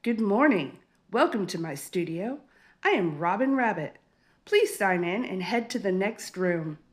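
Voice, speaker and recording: A woman's voice: medium pitch, animated, unhurried, natural voice. A woman voicing a friendly, encouraging rabbit who runs a yoga studio in a children's storybook. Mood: welcoming. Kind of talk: children's storybook read-aloud.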